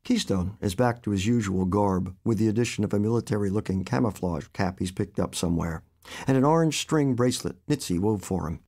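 A man, an author, reading his own short story aloud in an expressive, animated storytelling manner. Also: fairly low pitch, a little fast.

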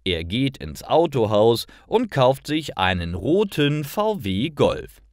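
Male narrator for learner stories: unhurried, precise, highly expressive intonation. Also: no room sound, clean studio sound. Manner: careful, clearly enunciated reading. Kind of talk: scripted story narration for language learners.

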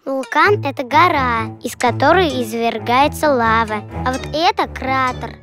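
A woman's voice: sits high, normal speed, fairly bright tone. A woman voicing a little, patient child creature who explains things matter-of-factly in a children's cartoon. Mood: explaining. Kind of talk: children's educational cartoon acting. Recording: music behind the voice.

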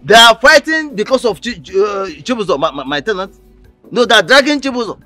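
An actor playing an excitable landlord who stammers and exclaims when flustered. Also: male voice, high voice, fairly quick, husky, soft background music, full character voice. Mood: agitated.

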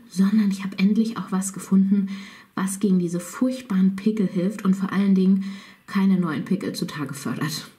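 A woman's voice: medium pitch, mellow. A woman in her thirties, slightly under the weather, chatting to viewers as she films around her home. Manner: chatty, enthusiastic, addressing viewers directly. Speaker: vlogger. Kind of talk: casual vlog walkthrough. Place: home, indoors.

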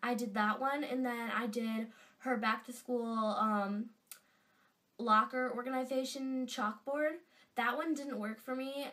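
A woman's voice: on the high side, fairly even intonation, unhurried, a bit bright, distant mic. A teenage girl with a stuffy nose from a cold, gushing in a casual fan vlog from her bedroom. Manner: chatty and gushing, lots of fillers. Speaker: vlogger. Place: bedroom indoors. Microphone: webcam at desk distance.

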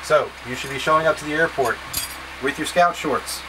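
A man in his thirties with a slightly high-pitched-to-middle, ordinary voice explains things step by step like a scout leader. He is plain-spoken and casually directive, talking to a camera a few feet away in a small indoor space, with a clear steady hum of noise behind him. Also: normal speed. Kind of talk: instructional packing talk to camera. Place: small wood-panelled boat cabin, indoors.

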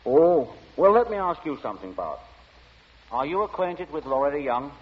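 An actor playing a droll, stubborn, deadpan director in 1940s radio acting style. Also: male voice, fairly high pitch, moderate pace, husky. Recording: muffled, reverberant 1940 radio recording.

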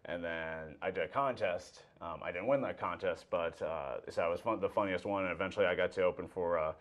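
A man's voice: sits low, a bit bright. A man in his thirties chatting casually as a comedian guest, stumbling over phrases and dropping dry jokes. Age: 30s. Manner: halting, restarts phrases, dry joking asides. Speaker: stand-up comedian, interview guest. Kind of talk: casual joking interview chat. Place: small indoor TV studio set. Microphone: lapel mic.

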